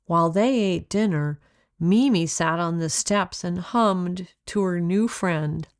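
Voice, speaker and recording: A woman reading her own children's story aloud as a deliberate, expressive narrator, recorded close. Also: fairly low pitch. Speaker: author reading own book.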